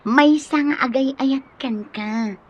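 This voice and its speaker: A woman's voice: high voice, drawn-out words. A woman playing a teasing, coaxing female friend who plays matchmaker in a radio drama, with a Filipino accent. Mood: teasing, amused. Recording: muffled, noisy radio drama recording.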